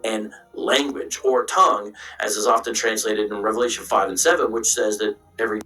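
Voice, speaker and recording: A man giving a measured Bible lecture, played back as a clip. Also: fairly low pitch, steady tone, bright, forceful tone, far from the mic, soft background music. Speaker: Bible teacher. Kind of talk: recorded scripture lecture. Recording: echoey clip from off mic.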